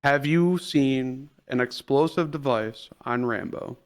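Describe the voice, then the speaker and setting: A man improvising as an attorney in a roleplay trial, pressing a witness with halting, repetitive questions. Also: mid-range voice. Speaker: attorney cross-examining a witness.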